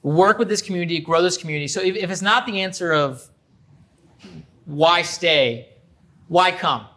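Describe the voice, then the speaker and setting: A man hosting a casual interview, speaking haltingly and repeating words and phrases for emphasis. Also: sits high, pushed and bright. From Iran. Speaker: interview host.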